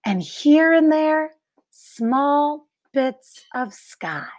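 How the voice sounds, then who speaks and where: A woman reading a picture book aloud like a teacher, expressively, with deliberate dramatic pauses and emphasis for young listeners. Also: sits high, animated. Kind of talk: expressive children's read-aloud narration.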